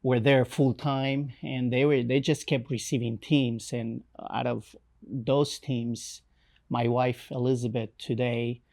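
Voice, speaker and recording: Man, Romanian accent, young adult, mid-pitched, halting, fillers and restarts. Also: flat delivery, vocal fry, darkish tone. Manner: hesitant, many fillers and restarts. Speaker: missionary guest. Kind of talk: interview, personal testimony.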